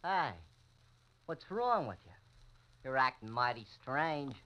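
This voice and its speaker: An actor playing an easygoing, folksy apartment janitor with colloquial speech in a vintage radio drama. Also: male voice, medium pitch, sing-song, slow, dull audio. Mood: puzzled, annoyed. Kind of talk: 1940s-50s radio acting. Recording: noisy vintage radio recording.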